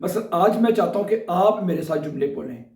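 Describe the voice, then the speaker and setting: A man teaching a beginner language lesson with a sore throat, chatty and often pausing to check in with his viewers. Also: high voice, lively intonation, darkish tone, echoey room, distant mic. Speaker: German teacher. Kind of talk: casual online language lesson.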